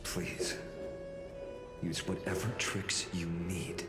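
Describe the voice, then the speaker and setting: Composed game hero, deep register, earnest, measured, polite, grave, roomy reverb, music underneath. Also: male voice, level tone. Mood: earnest, trusting. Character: calm, earnest young male hero, courteous and grave. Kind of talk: anime-style video game voice acting. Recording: music behind, slight reverb.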